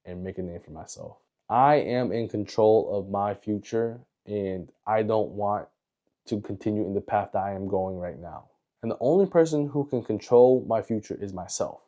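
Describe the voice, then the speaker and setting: A man in his twenties with an American accent, speaking in an earnest, deliberate, reflective way as in a confessional video diary. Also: fairly low pitch, mellow, lo-fi sound. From United States. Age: 20s. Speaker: vlogger.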